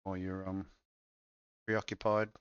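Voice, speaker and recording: A man's voice: sits low, flat delivery, takes it slowly, clean tone, dry recording, dull audio. A man co-hosting a casual, absurd chat show, joking dryly with mock-dramatic asides. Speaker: co-host. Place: home setup, streamed show.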